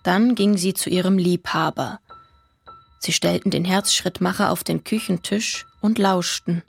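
An actress playing a deadpan, matter-of-fact teller of macabre, absurd tales in a radio play. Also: female voice, fairly low pitch, measured pace, vocal fry, husky, soft background music, heavily acted. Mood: deadpan, quiet. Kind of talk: literary radio play narration.

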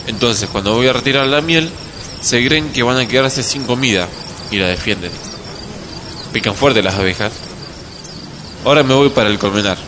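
A man's voice: mid-range voice, moderate pace, pauses often, noisy background, lightly acted. A man playing a patient, kindly beekeeper grandfather with an Argentine accent, explaining things simply in a radio drama. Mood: patient, explanatory. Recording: clear outdoor birds and insects. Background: birds, crickets, countryside ambience.

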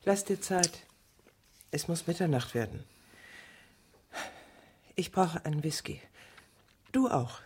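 An actress playing a bitter, lovesick middle-aged woman who has turned killer, speaking in a deliberate, sardonic, brooding way in a radio drama. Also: low-pitched, lively intonation, background noise, full character voice. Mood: dry, probing. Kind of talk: radio drama acting with intimate inner monologue.

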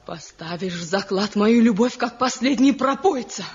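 An actress playing Milkana, a desperate young wife, pleading and tearful in a 1970s radio play. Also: female voice, mid-range voice, expressive, moderate pace, darkish tone, lightly acted. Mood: anguished. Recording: dull lo-fi old radio recording.

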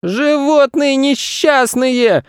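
Shrill, bratty little boy. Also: extremely slow, dry recording, clean studio sound, full character voice. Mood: furious. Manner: shouting, petulant, defiant. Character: small bratty boy, spiteful, shouting tantrum-thrower. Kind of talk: children's story reading.

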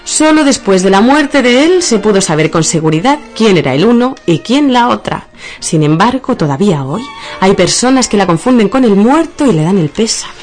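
An actress with a Castilian accent playing an earnest, easily offended wife in absurdist radio theatre, with theatrical delivery. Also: female voice, medium pitch, very animated, moderate pace, darkish tone, faint music behind, lightly acted. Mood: mock mournful. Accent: Castilian Spanish.

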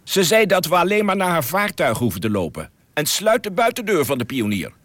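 An actor playing a level-headed, cautious spaceship captain in a radio drama, authoritative but affable. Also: male voice, on the high side, big pitch swings, fairly quick, fairly bright tone. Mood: curious.

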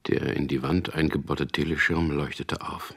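An actor playing a wry, weary propaganda copywriter in a German radio drama, speaking in a dry, ironic way. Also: male voice, rumbling low, little pitch movement, moderate pace, fairly bright tone, full character voice. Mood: wry, resigned. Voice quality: husky, gravelly. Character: wry, weary male propaganda copywriter, narrating his story. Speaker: lead actor and first-person narrator.

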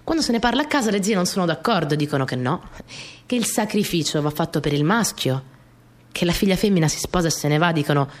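A woman's voice: on the low side, lively intonation, quick pace. An actress playing a young peasant girl in a radio monologue, telling her story in a naive, colloquial way, repeating phrases for emphasis. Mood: stubborn, admiring. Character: young Italian peasant girl, earnest, plainspoken, stubborn storyteller. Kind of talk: dramatic monologue in a radio play. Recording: music behind the voice.

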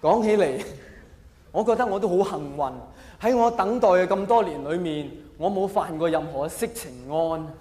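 High-pitched Hong Kong man doing stand-up. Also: normal speed, mellow. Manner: theatrical, exaggerated, with mock-earnest delivery. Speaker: stand-up comedian. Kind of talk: live stand-up comedy monologue. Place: large hall, live stage performance. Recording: echoey hall reverb, faint background noise.

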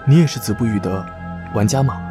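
A man playing a composed, attentive young player in a horror audio drama, calm, measured and serious. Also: fairly low pitch, over music, close to an everyday voice. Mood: curious.

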